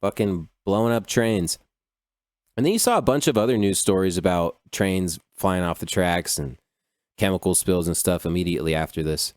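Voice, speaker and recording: A man's voice: deep voice, normal speed, darkish tone, no room sound, studio quality. A man hosting a podcast by himself, riffing on news stories in a loose, profane, rambling way, full of "dude" and "like". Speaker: podcast host. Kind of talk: casual solo commentary on news.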